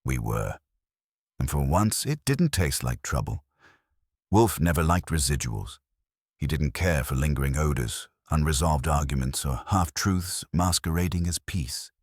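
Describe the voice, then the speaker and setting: A man's voice: rumbling low, animated, a little slow, breathy edge, no room sound, clean studio sound. A man reading a mystery story aloud in the first person, with a wry, conversational manner. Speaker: narrator.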